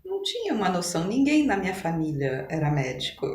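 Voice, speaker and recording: A woman's voice: lowish voice, huge pitch swings, fast talker, crowd noise. An older woman with a São Paulo Brazilian accent, telling her life story conversationally as an interview guest. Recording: roomy echo, noticeable background ambience.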